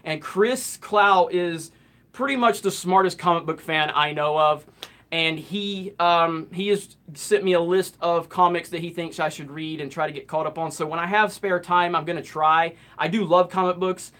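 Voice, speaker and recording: A man in his late thirties hosting a live Q&A stream, reading out viewer comments and answering in a relaxed, rambling way. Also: a little high, normal speed. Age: 30s. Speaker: host.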